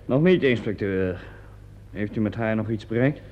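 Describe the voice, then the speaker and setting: An actor playing a dutiful, matter-of-fact police assistant in a Dutch radio play. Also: male voice, on the low side, moderate pace, close to an everyday voice. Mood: businesslike. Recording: dull, lo-fi old radio recording.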